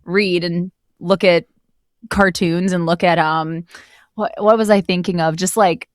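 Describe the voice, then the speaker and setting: Low-pitched woman chatting as interview guest. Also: fairly even intonation, unhurried, no room sound, clean studio sound. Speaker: guest, voice actor who narrated the project. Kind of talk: casual interview chat.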